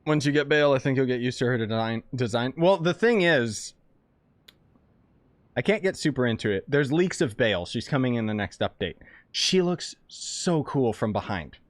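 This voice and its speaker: Young guy gamer narrating his playthrough, blunt jokey reactions, animated. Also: mid-range voice, no room sound. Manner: joking, blunt, quick to react. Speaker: gaming streamer. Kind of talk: casual let's-play commentary.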